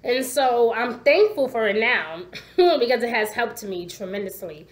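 A congested, hoarse woman in her forties, telling her story in a chatty way to a laptop webcam at home. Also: on the high side, measured pace. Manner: chatty, animated, gestures a lot, asks "okay?" often. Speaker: vlogger. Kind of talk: casual personal storytelling to camera. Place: living room at home.

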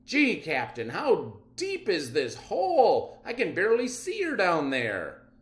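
A man's voice: high-pitched, huge pitch swings, reverberant, pitched above the performer's own voice, full character voice. A man voicing a hesitant, slightly dim junior police officer from a comic book. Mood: puzzled. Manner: hesitant, drawn-out.

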